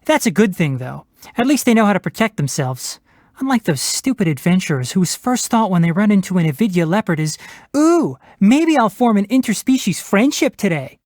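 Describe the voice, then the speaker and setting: A young fox-eared forest ranger from a video game, speaks with a highly animated melody. He is precise and bookish, with a wry, matter-of-fact edge. The sound is dry and free of any room. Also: normal speed, no room sound. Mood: calm, matter-of-fact, then wry, sarcastic. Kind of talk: video game character voice lines.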